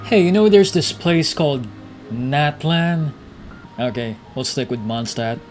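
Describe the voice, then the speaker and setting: A man's voice: a little high, expressive, a little slow, a little mellow, faint music behind. A man giving casual gameplay commentary and reading story text aloud as he plays. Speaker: gaming streamer.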